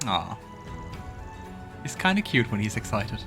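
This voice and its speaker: A man with a lowish voice gives casual gameplay commentary. He thinks aloud and reads the on-screen story text as he goes, with game music faintly behind him. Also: sing-song, measured pace. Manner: musing aloud to himself. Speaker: let's play host.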